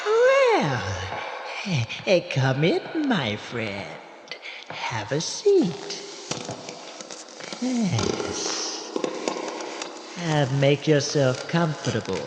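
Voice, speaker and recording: An elderly morgue attendant spinning radio tales. He speaks very slowly and haltingly, with courteous hesitations and wide swings of pitch, in long echoing reverb. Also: huge pitch swings, noisy background, full character voice. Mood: obliging, eerie. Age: older. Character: odd, obliging old morgue attendant storyteller. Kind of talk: old-time radio horror-comedy narration. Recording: echoey hall with long reverb.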